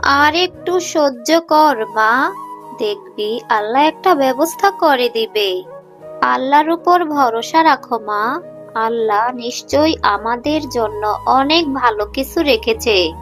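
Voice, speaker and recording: Woman playing weary widowed mother fox, high voice, sorrowful pleading, gentle, cartoon, background music. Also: lively intonation, takes it slowly, full character voice. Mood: sad, comforting. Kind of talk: children's cartoon story dialogue. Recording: music behind the voice.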